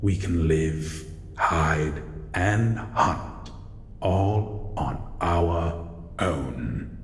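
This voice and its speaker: A man voicing a solitary shadow monster in an audio roleplay, guarded but courteous, speaking in a formal, measured way. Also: low voice, heavily acted. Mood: calm, guarded. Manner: slow, formal, measured, calls listener human. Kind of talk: scripted audio roleplay addressed to the listener. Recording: echoey, long reverb, faint noise.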